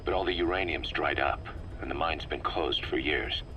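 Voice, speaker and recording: An actor playing a serious, methodical middle-aged intelligence officer, giving a measured, analytical briefing in a military video game. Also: male voice, rumbling low, sharp tone, dull audio. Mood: grave. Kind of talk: scripted briefing, game voice acting. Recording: faint noise on a cassette-tape briefing.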